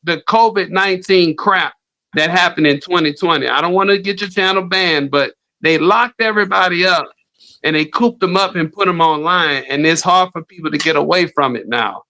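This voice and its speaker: A man talks bluntly and emphatically into an online call mic, much too loud for the channel. His voice sits high and bright, and he swears as he pushes his points. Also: normal speed. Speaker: panel guest.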